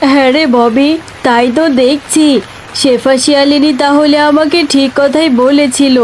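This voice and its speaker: A woman's voice: sits high, steady tone, normal speed, a little mellow, slight background noise, close to an everyday voice, rough recording. A woman voicing a scheming, dismissive mother tigress in a children's fairy-tale cartoon, brusque and self-justifying. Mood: anxious, scheming.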